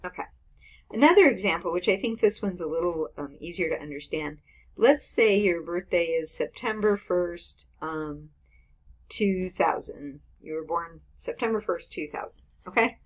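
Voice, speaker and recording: A woman's voice: fairly low pitch, animated, moderate pace. Chatty woman in her fifties teaching math. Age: 50s. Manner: chatty, explains with everyday examples, frequent "okay" and "right". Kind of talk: informal screen-recorded math lecture.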